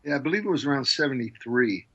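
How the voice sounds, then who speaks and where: Husky man in his sixties, dull line. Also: mid-range voice, animated, fast talker, sharp tone. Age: 60s. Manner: thoughtful, hesitant, many "um"s. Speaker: guest. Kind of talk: interview, recounting memories.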